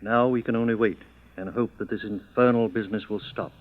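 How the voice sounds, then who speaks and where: A man's voice: lowish voice, fairly even intonation, brisk, natural voice. A dignified governor played by a male actor speaks with formal courtesy and troubled resolve. It is old-time radio drama, narrow and tinny in sound. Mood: formal, concerned.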